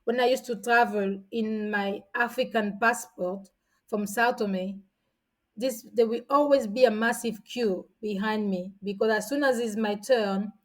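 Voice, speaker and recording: A woman's voice: medium pitch, steady tone, measured pace, low-quality recording. A woman in her forties from São Tomé and Príncipe, a guest telling stories in a chatty way with frequent restarts. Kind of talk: casual storytelling.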